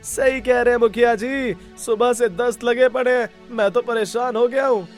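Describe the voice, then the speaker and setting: A man's voice: extremely high pitch, fairly even intonation, moderate pace, soft background music. A man voicing a complaining, aggrieved villager in a cartoon story, whiny and blaming.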